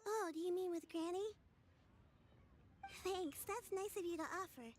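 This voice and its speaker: Game role: caring young granddaughter, very high-pitched, polite, cheerful and patient. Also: female voice, a little slow, faint music behind. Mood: apologetic.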